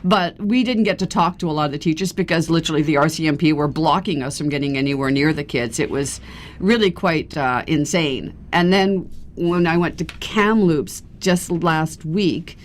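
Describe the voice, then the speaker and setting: Low-voiced woman telling activist stories. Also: moderate pace, a little hiss or hum. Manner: chatty, laughs often, storytelling. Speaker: activist guest. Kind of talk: casual radio interview. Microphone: radio studio microphone.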